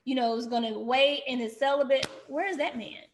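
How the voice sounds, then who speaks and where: A woman's voice: sits high, steady tone, a little fast, slight background noise. A woman in her thirties chatting casually and candidly on a podcast, in colloquial speech. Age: 30s. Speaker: podcast host. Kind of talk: casual chat.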